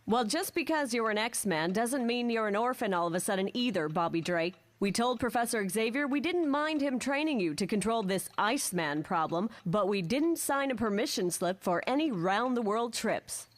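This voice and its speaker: A woman's voice: fairly high pitch, animated, normal speed, hardly any pauses, natural voice. A woman acting a scolding middle-aged mother in a motion comic.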